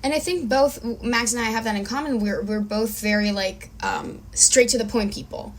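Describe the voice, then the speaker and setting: A woman's voice: a little high, moderate pace. A young woman from Israel talking casually as a filmmaker guest in an interview.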